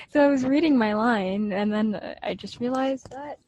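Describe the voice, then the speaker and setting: A woman's voice: fairly high pitch, a little slow, darkish tone. A female student actor reading and redoing lines for a radio play.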